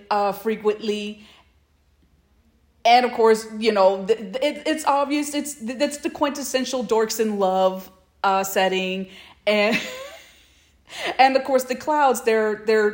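A woman co-hosting a casual fan podcast, talking with gushing enthusiasm. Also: mid-range voice. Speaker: podcast co-host.

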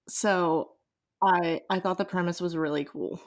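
A woman with a slightly low voice co-hosts a casual discussion podcast. She is enthusiastic and very informal, peppering her sentences with filler "like" as she puts questions and opinions. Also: fairly even intonation, measured pace. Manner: informal, enthusiastic, says "like" constantly. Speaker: podcast co-host and interviewer.

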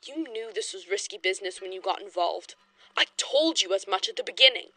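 A woman's voice: mid-range voice, animated, brisk, sharp tone, no room sound. An actress playing a blunt, defiant woman researcher of the 1920s in an audio horror drama, speaking emphatically and urgently.

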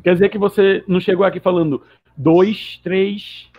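A man interviewing a guest over a call, asking relaxed, teasing questions. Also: sits high, normal speed, dull audio.

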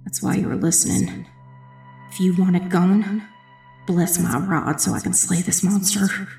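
A woman playing a determined witch who narrates a dark fantasy audiobook in an intense, dramatic, breathy voice with heavy creak. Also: on the low side, fairly even intonation, unhurried, soft background music. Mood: pleading. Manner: intense, hushed, dramatic first-person narration. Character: determined young witch telling her own battle.

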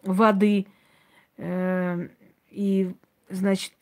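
Female host for an informal talk, medium pitch, plain-spoken and opinionated, conversational delivery. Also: level tone, very slow. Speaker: host, self-described witch. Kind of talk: informal talk to live audience, answering comments.

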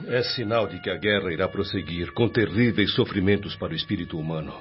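A Brazilian actor playing a grave, formal spirit colleague in a radio drama. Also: male voice, sits low, fast talker, noisy background, close to an everyday voice. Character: grave male spirit colleague announcing war. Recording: dull lo-fi radio drama recording. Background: music.

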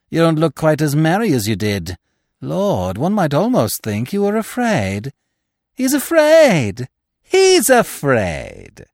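A man's voice: mid-range voice, a little mellow, no room sound, clean studio sound. A man voicing a furious, vengeful widow in a storybook, melodramatic and taunting, with a cruel and fearful edge.

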